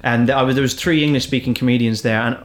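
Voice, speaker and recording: A man's voice: mid-range voice, fairly even intonation, quick pace. Irish guy, stand-up comic, rambly chatty storytelling, restarts a lot. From Ireland. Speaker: stand-up comedian. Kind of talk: casual chat.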